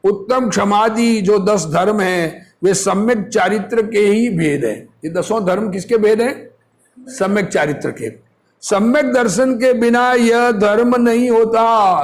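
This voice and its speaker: A man's voice: high voice, unhurried. A man teaching scripture in a religious discourse, reading aloud and explaining it in a didactic, question-and-answer way. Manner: didactic, asks rhetorical questions and answers them.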